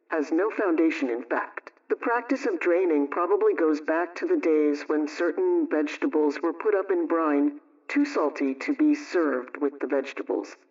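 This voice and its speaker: A man reading scripted household advice and recipes in a measured, instructional way, like a 1920s radio programme host. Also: on the high side, a little mellow. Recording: dull, distant vintage radio sound.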